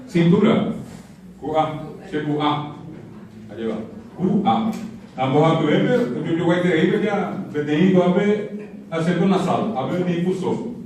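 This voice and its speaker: A man with a slightly high voice teaches a language in a very echoey hall, far from the microphone. He is didactic, repeating example words and checking in with his listeners. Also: darkish tone. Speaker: lecturer teaching Guaraní. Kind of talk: interactive language lecture with spoken examples. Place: university auditorium. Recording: very echoey hall, distant mic, audience noise.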